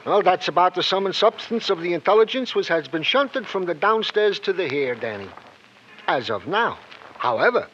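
An actor playing a wordy police sergeant sidekick in an old radio crime drama, florid and mock-formal. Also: male voice, high voice, sing-song, normal speed, fairly bright tone. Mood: pompous, dutiful. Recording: muffled, noisy old radio recording.

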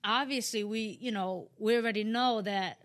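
A woman's voice: mid-range voice, moderate pace, dry recording. A young woman guest on a podcast, talking casually and loosely, with lots of "you know" and playful jokes. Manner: rambling, heavy on "you know" and "like", jokey asides. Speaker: podcast guest, spiritual speaker. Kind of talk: casual chat.

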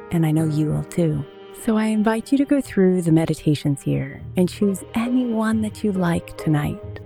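A middle-aged woman narrating gently and calmly, as a podcast host guiding children toward sleep. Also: mid-range voice. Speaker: podcast host and meditation guide. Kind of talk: soothing scripted narration for children's sleep meditations. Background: music with guitar.

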